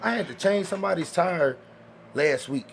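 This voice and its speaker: A man's voice: high voice, animated, normal speed, gravelly, crowd noise. A man chatting casually as a podcast panelist, making his points emphatically and repeating words to press them. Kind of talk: casual chat.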